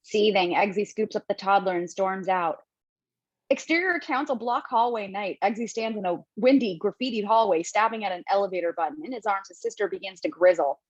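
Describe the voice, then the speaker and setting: A woman narrating stage directions in an amateur table read over a video call, brisk and quick to laugh. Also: mid-range voice, no echo, highs cut off.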